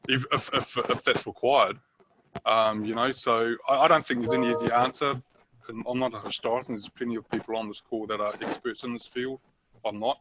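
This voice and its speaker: A man from New Zealand with a Kiwi accent, speaking hesitantly with many fillers in a panel discussion over a video call. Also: medium pitch. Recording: noisy video call audio.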